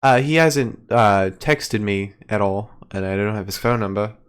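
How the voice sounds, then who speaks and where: A man's voice: lowish voice, normal speed, clean tone. A man co-hosting a wrestling podcast, recapping in a rambling, hesitant way with lots of fillers. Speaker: podcast co-host. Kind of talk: casual wrestling recap chat.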